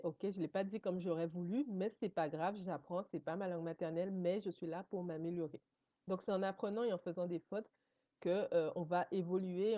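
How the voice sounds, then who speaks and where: A woman whose first language is English, giving a motivational coaching talk straight to her viewers. She encourages them warmly and keeps checking in with a quick "ok?". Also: on the low side, no room sound, dull audio. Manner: encouraging, addresses viewers directly, often checks in with "ok?".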